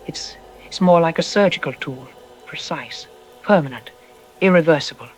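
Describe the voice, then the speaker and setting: A man playing a troubled archaeology expert in an apocalyptic audio drama news interview, speaking gravely and deliberately with ominous pauses. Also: on the high side, faint music behind, natural voice. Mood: solemn.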